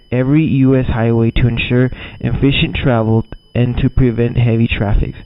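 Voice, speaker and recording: Guy playing a serious emergency broadcast announcer dude, formal scripted warning, muffled lofi sound, normal pitched male voice. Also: natural voice. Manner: formal, deliberate, occasional stumbles over words. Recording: dull lo-fi audio.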